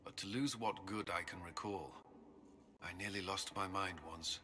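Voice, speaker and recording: A man's voice: low-pitched, measured pace, pushed and bright. An actor playing a guilt-ridden male templar commander in a video game, speaking solemnly and earnestly. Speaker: game character.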